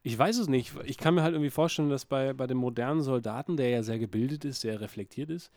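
A man's voice: mid-range voice, quick pace, darkish tone. A man from Berlin trades jokes in a loose, ironic chat, sliding from playful teasing into mock-solemn speeches. His mid-range voice sits close to the mic with no room echo at all. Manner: ironic, playful, fond of mock-serious rants. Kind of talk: joking casual chat.

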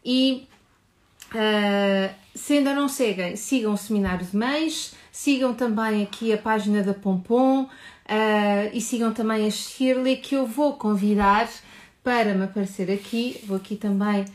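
A woman from Portugal with a European Portuguese accent opens a live stream in a warm, eager voice. Her pitch sits mid-range, and her speech races ahead before she eases off to explain things clearly. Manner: warm, enthusiastic, talks fast and slows to explain. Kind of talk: animated live stream welcome.

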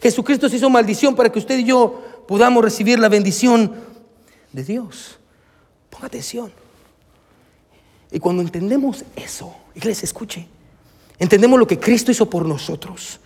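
A male pastor delivers an impassioned sermon in a very high-pitched voice. He is emphatic, repeats key phrases and speaks straight to his listeners. His words ring with the long reverb of a hall. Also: moderate pace, long pauses, a little mellow, husky. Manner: emphatic, repetitive, addresses listeners directly. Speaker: pastor preaching to a congregation. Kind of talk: impassioned sermon with scripture readings.

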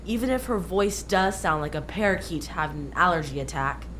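A woman's voice: on the low side, animated, brisk, fairly bright tone, slight background noise. A woman playing a wisecracking, skeptical American schoolchild in a radio play. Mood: cheeky, skeptical.

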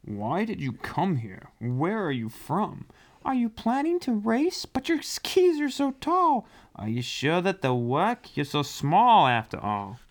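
Man voicing astonished storybook snow folk, pitched up. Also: huge pitch swings, slow pace, exaggerated voice. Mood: stunned, doubtful. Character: curious, astonished snow townsfolk questioning a tiny newcomer.

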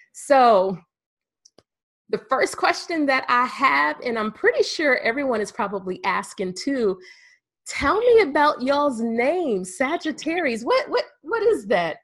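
A woman hosting a casual podcast chat. Her voice sits a little high, and she comes across upbeat and talkative, often laughing between her questions. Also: a bit bright. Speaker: podcast host.

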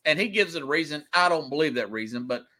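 A man's voice: a little high, lively intonation, quick pace, bright, forceful tone. A man in his forties hosting a sports talk show, giving casual, emphatic opinions and telling anecdotes in a conversational way. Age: 40s.

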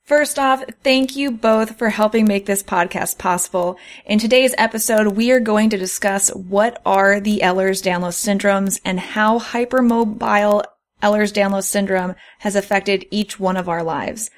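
A woman's voice: mid-range voice, normal speed. A woman hosting a podcast who reads prepared explanations with care and sometimes laughs at her own slips. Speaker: podcast host.

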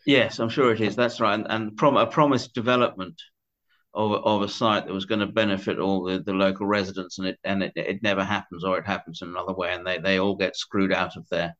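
An older man with a lowish voice talks as a guest in a book interview. His delivery is conversational and tentative, doubling back to correct himself. The audio sounds somewhat dull and band-limited. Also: level tone, fairly bright tone. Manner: tentative, self-correcting, halting. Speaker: podcast guest.

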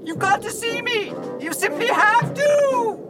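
Over swelling music, a prissy protocol droid from a science-fiction radio play. He swings widely in pitch as he frets, scolds and pities himself with theatrical indignation. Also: animated, unhurried. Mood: frantic, elated. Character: fussy, anxious, self-pitying male protocol droid.

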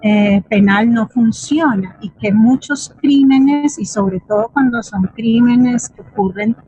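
Husky, slow-paced Colombian woman. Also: on the high side, dark tone, no echo, soft background music. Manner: thoughtful, hesitant, seeks agreement with tag questions. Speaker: guest playwright and drama teacher. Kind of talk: reflective forum talk. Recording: muffled lo-fi audio.

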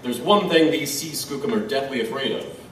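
A man's voice: medium pitch, lively intonation, moderate pace, lightly acted. A man playing a wry herbalist and gunsmith in an audio drama, droll and matter-of-fact. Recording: echoey, distant, faint ambient noise.

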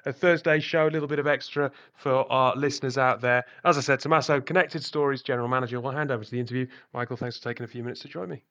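A British man hosting a podcast interview, relaxed and chatty, often starting with "look". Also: a little high. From Britain. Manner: relaxed, leans on "look" and "kind of". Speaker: podcast host.

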